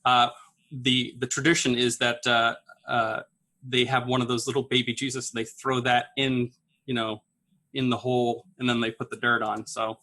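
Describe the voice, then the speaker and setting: A man improvising a mock explanation on a comedy panel, halting and full of hesitations. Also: medium pitch, little pitch movement, bright, forceful tone. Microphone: video call.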